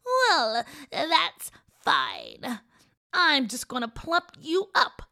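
Lady doing a wicked old crone, gloating and bossy, bright high voice. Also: huge pitch swings, drawn-out words, creaky, heavily acted. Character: cruel greedy old woman who fattens children.

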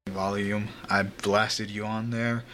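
A man's voice: on the low side, level tone, takes it slowly, bright, forceful tone, a little hiss or hum. A young man hosting a casual podcast, rambling loosely, laughing often and swearing now and then. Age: young adult. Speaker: podcast host. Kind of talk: casual chat. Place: home room with a fan running. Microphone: home mic over a voice call.

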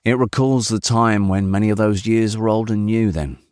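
A man voicing a gloomy, grieving learned chemist in a brooding, measured murmur, for a storybook reading. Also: fairly low pitch, darkish tone, clean tone, dry recording, clean studio sound, lightly acted. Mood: brooding, probing.

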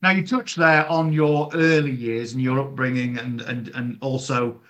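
A man's voice: mid-range voice, fairly even intonation, moderate pace, a bit bright. A man interviewing a guest in a casual, chatty and agreeable conversational way.

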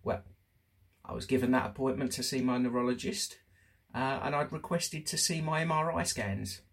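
A man talking candidly and casually as host of a personal diary show, chatty but halting, pausing for emphasis. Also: medium pitch. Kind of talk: casual spoken diary update.

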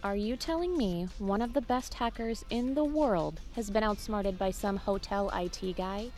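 Teasing, mid-pitched girlfriend, played by a woman. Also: normal speed, darkish tone, soft background music, lightly acted. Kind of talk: naturalistic audio drama acting.

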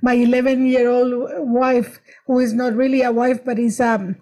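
A middle-aged woman, a comedian guest telling stories in animated, restarting bursts. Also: a little high, moderate pace. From Nicaragua. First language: Spanish. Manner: animated storytelling, frequent restarts and laughs. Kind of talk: casual interview chat.

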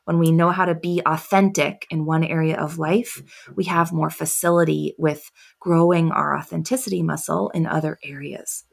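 A woman coaching on confidence as a podcast guest, soft and quiet, taking long pauses between her thoughts. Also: sits low, steady tone, creaky, husky. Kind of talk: reflective interview answers.

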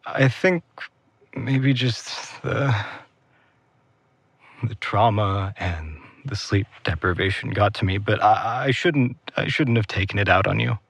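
A man's voice: on the low side, slow pace, gravelly, fairly bright tone, breathy edge, no echo, full character voice. A man acting in an audio drama as an earnest, exhausted and protective older brother, speaking with feeling. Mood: weary, tender.

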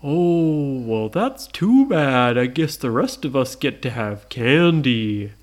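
Big storybook monster, friendly yet firm, emphatic, lively swooping pitch, played by a woman. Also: slow pace, heavily acted.

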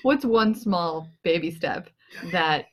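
A woman's voice: on the low side, animated, takes it slowly. A woman hosting a casual conversation, playfully quizzing her guests. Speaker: podcast host. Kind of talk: casual interview chat.

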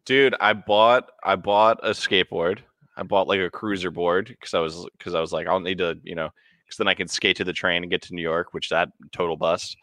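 Laid-back deep-voiced man in his twenties. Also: fairly even intonation, brisk, sharp tone, dry recording. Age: 20s. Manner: laid-back, swearing, stumbling restarts. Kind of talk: casual chat.

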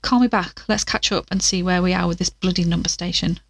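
A woman's voice: on the low side, fairly even intonation, a little fast, vocal fry, background noise. A woman playing an anxious, weary investigator who speaks casually and hesitantly into an audio diary in an audio drama. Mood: groggy, apologetic.

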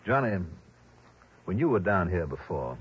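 An actor playing an earnest, worried insurance man in a 1960s radio drama, speaking gravely and courteously with a husky voice. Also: low voice, very animated, a little slow, slight background noise. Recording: muffled old radio broadcast.

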